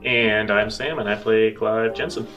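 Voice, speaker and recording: A man's voice: lowish voice, fairly even intonation, unhurried. A man chatting casually as a player on a tabletop game show. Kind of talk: casual chat. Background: music.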